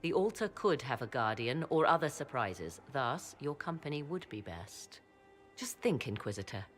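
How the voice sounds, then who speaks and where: A woman's voice: rumbling low, very animated, faint music behind. A woman playing a proud, learned witch in a video game, speaking in formal, archaic, mysterious phrases. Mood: measured, urging. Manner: archaic, measured, theatrical phrasing. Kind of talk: video game cutscene acting.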